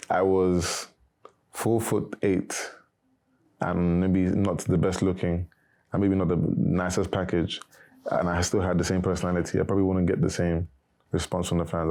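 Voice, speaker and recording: A young man, a former reality show contestant, answering interview questions in a casual, monotone way. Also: deep voice. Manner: monotone, not very animated.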